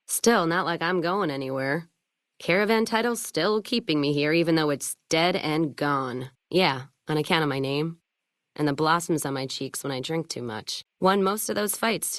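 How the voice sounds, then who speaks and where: A woman's voice: deep voice, fairly bright tone, no echo, studio quality. A woman playing a tough, hard-drinking, world-weary caravan owner in a video game, blunt, sardonic and foul-mouthed. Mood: sardonic, dry. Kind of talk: video game character dialogue.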